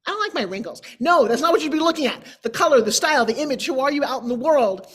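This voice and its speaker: A woman's voice: sits high, lively intonation, fast, a bit bright. A middle-aged woman from New York, chatting informally and emphatically as a show host on a video call. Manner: emphatic, theatrical, conversational asides. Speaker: show host, motivational speaker. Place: indoor room, on a video call. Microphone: video call microphone.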